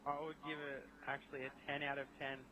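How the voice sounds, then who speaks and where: A man's voice: mid-range voice, level tone, fast talker, bright, forceful tone, low-quality recording. A young man in a casual gaming chat over an online voice call. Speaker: podcast guest. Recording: faint noise, online voice chat.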